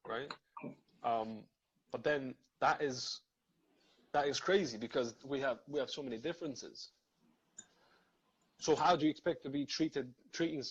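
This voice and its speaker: A man's voice: medium pitch, slightly rough, muffled recording. A man from Serbia, talking things through thoughtfully in a casual live video chat, with many fillers and restarts.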